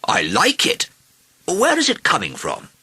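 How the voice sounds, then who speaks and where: A man's voice: a little high, wildly swooping pitch, measured pace, bright, forceful tone, slightly rough, dry recording, clean studio sound, pitched above the performer's own voice, full character voice. A man voicing an eager, rebellious little king in a storybook, full of enthusiastic exclamations.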